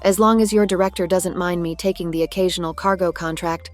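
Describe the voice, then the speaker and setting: Casting: woman playing veteran cargo plane lady in a cartoon, lowish voice, genteel and genial, faint music behind. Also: fairly even intonation, a little fast, natural voice. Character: genial fifty-one-year-old female military cargo plane, gently teasing. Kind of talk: scripted character dialogue.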